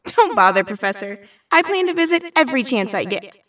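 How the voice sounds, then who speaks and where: A woman's voice: fairly high pitch, sing-song, brisk, a bit bright, no echo, studio quality. A woman playing a hurt, stubborn teenage schoolgirl heroine in an audio drama, blunt and emotional. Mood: wistful.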